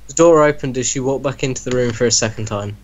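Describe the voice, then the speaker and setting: A man's voice: mid-range voice, fast talker, muffled recording, a little hiss or hum. A young man giving a mock-dramatic reading of a story among friends, savouring the text. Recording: loud acoustic guitar music behind voice. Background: acoustic guitar music.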